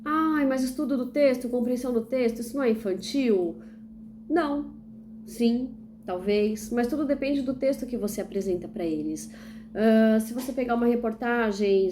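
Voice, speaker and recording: A young woman from Brazil walks through a classroom activity step by step as a teacher trainee, asking and answering her own questions. Her voice sits fairly high but soft and mellow. A faint hum of the room runs behind her. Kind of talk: instructional demonstration video.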